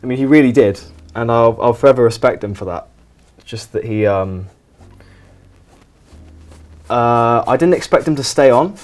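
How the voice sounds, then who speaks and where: A man hosting a painting show in a deadpan, mock documentary style, talking in a soft, relaxing low voice while rambling evasively and defensively. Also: normal speed, pauses often.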